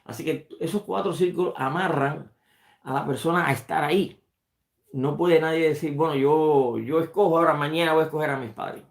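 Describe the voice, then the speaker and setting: A man's voice: on the high side, gravelly, reverberant. A man who is a rabbi, giving an unscripted religious lesson and often stopping to repeat a word as he searches for the next one. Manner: halting, repeats words while searching for them. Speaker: rabbi teaching a study class.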